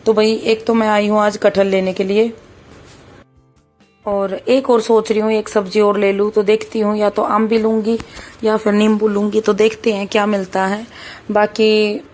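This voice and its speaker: A woman in her thirties with a hoarse, sore voice, chatting casually through a vlog as she cooks. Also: mid-range voice, little pitch movement, normal speed, darkish tone, soft background music. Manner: chatty, keeps saying "bhai", talks while cooking. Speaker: vlogger.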